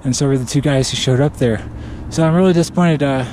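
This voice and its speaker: A man on a snowy mountainside talks to the camera strapped to him, thinking aloud with many pauses and short nervous laughs. His voice sits at an ordinary pitch for a man. Wind and outdoor noise hiss clearly behind him. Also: darkish tone. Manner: hesitant, self-critical, with nervous laughs. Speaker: backcountry skier filming himself.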